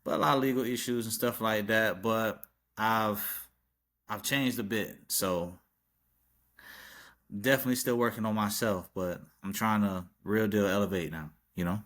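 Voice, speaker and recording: A man from Arkansas talking as a podcast guest, relaxed and informal, with a habit of saying "yes, sir" and chuckling between stories. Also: fairly low pitch, normal speed. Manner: laid-back street talk, repeats "yes, sir", chuckles often. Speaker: podcast guest, tattoo artist and rapper. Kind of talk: casual interview chat.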